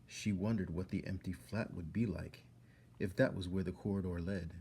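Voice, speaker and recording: A man reading a children's story aloud as a storyteller, expressively and with dramatic pauses. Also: low voice, steady tone, warm tone, slight background noise. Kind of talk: narrated story read-aloud.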